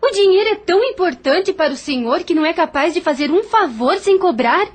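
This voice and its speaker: An actress in an audio novel playing a proud, frank but kindly young widow on a colonial farm, speaking formally and directly. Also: female voice, very high voice, lively intonation, fairly quick. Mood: indignant.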